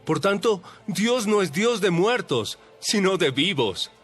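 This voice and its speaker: An authoritative religious teacher, acted by a man, instructs his followers in an audio Bible drama. The male voice sits slightly high and is emphatic and didactic, over faint music. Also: big pitch swings, takes it slowly, fairly bright tone, heavily acted. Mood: firm, correcting.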